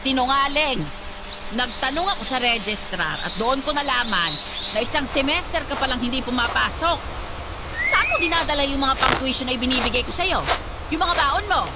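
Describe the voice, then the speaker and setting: A woman's voice: high-pitched, expressive, moderate pace, very noisy. An actress playing a furious, scornful mother who interrogates and scolds in a Filipino radio drama. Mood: suspicious, angry. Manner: interrogating, scornful, explosive outbursts. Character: furious, scolding mother confronting her pregnant student daughter.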